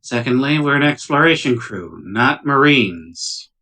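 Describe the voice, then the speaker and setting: A man playing an assertive starship medical officer in a tabletop game, brisk and matter-of-fact. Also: medium pitch, animated, bright, forceful tone, full character voice. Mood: businesslike.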